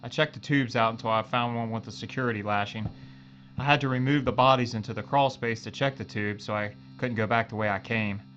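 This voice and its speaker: An actor playing a loyal, joking spaceship engineer in a science-fiction audio drama, with a casual, folksy manner. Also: male voice, medium pitch, fairly even intonation, brisk, fairly bright tone, slight background noise, close to an everyday voice. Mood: matter-of-fact. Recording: dull lo-fi sound, faint music.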